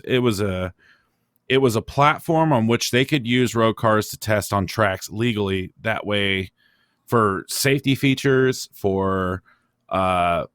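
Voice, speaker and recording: A man with a fairly low voice hosts a casual motorsport podcast. He thinks aloud in a halting, opinionated way. The sound is very dry, with no room around him. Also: a bit bright, no room sound. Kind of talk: casual racing discussion, opinionated.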